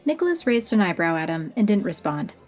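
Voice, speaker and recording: A woman narrating a fantasy audio story in an expressive, even-paced reading, over soft music. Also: medium pitch, a little fast.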